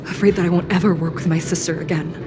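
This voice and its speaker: A woman voicing a stoic, solemn judge with an artificial body in an anime-style game, formal, measured and composed. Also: fairly low pitch, steady tone, over loud music, close to an everyday voice. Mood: grave, resolute.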